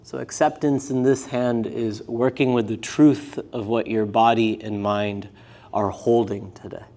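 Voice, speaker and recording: A man teaching a live yoga and meditation class, speaking gently in short, unhurried phrases with pauses between them. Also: lowish voice, fairly even intonation. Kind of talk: guided meditation class instruction.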